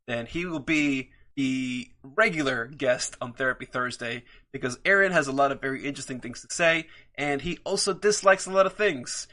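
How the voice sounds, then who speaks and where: A man's voice: a little high, bright, forceful tone. A man hosting his own podcast, talking enthusiastically and a bit rambly about his picks, with many fillers. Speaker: podcast host. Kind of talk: solo podcast comic book picks.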